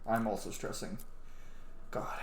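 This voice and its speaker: A streamer giving casual gameplay commentary, rambling and thinking aloud. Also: a little slow. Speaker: streamer playing a role-playing game. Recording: roomy echo, faint background noise.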